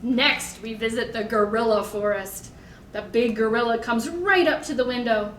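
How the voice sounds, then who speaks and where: A woman leading a toddler storytime in simple short phrases, with an animated, sing-song, encouraging manner. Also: a little high, normal speed, slight background noise. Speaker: children's librarian leading storytime. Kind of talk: toddler storytime, singing-along instruction and read-aloud. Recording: echoey room.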